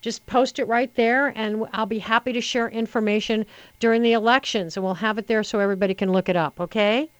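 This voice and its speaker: A woman hosting a call-in radio talk show, chatty and opinionated, talking briskly to callers. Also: a little high, fairly even intonation, dry recording. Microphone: studio microphone.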